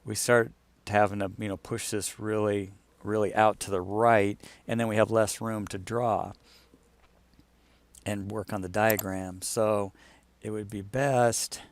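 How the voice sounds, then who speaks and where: A man with a fairly low voice walks through something on screen in an informal way. He explains it like a client briefing someone on a project, thinking aloud as he demonstrates. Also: little pitch movement, a little slow. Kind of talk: casual screen-share walkthrough.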